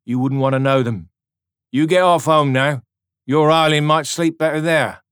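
A man's voice: fairly high pitch, normal speed, a bit bright, clean tone, no echo, studio quality, pitched above the performer's own voice, natural voice. A man reading an audiobook voicing a kindly, firm older soldier. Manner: kind but firm.

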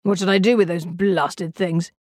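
A cantankerous, gouty old gentleman from a period storybook, acted out by a woman. The voice is scratchy and shrill, full of suspicion and bossy exclamations, in a very dry recording. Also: steady tone, normal speed, dry recording, close to an everyday voice. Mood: gruff contempt. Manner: cantankerous, exclaiming, barking orders.

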